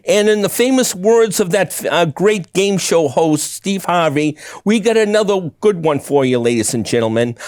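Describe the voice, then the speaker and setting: A man hosting a local cable access interview, halting, with many ums and restarts. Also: sits high, a little mellow, no room sound, clean studio sound. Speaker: host. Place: small TV studio.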